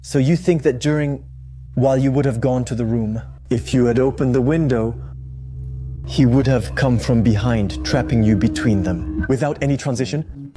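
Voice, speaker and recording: A man hosting an interview show, asking inquisitive, leading questions. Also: mid-range voice. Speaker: host. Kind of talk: interview host.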